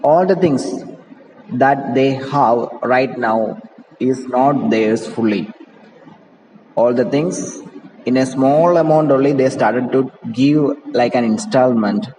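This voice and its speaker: A man's voice: medium pitch, takes it slowly, warm tone. Echoey Indian-accented male teacher explaining a text. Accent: Indian English. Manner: explanatory, repeats and rephrases points. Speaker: English teacher. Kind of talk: reading a play aloud and explaining it line by line. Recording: echoey reverberant room with background noise.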